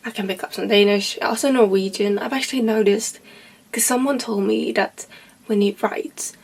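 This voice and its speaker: A woman's voice: medium pitch, steady tone, normal speed, darkish tone, husky. A woman in her twenties, a native Swedish speaker with a Swedish accent, rambling casually about a favorite book with lots of fillers. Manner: rambling, heavy on fillers like "like" and "you know". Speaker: book vlogger.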